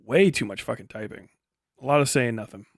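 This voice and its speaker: A man's voice: mid-range voice, lively intonation, fairly quick, no echo, studio quality. A man hosting a livestream, chatting casually and answering viewers in a blunt, heavily profane way. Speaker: livestream host and commentator.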